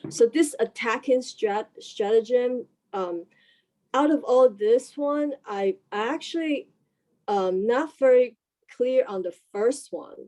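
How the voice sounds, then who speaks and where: A woman's voice: on the high side, slow pace, a little mellow. A woman in a casual group discussion, asking a question with many fillers and restarts. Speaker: discussion participant.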